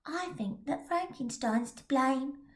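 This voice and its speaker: A woman voicing a ragged little match girl in a storybook reading, soft and matter-of-fact. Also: high voice, lively intonation, slow pace, voice pushed up. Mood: grim.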